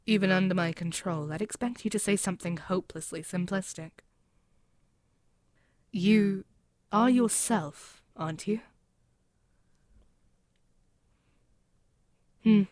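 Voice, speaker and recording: A woman voicing a proud, mocking demon queen in an intimate ASMR roleplay, speaking in a haughty, deliberate, musing way. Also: mid-range voice, darkish tone, no echo, studio quality, close to an everyday voice. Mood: suspicious, musing. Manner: haughty, deliberate, musing, with pauses and murmured asides. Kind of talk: scripted ASMR audio roleplay, spoken intimately to the listener.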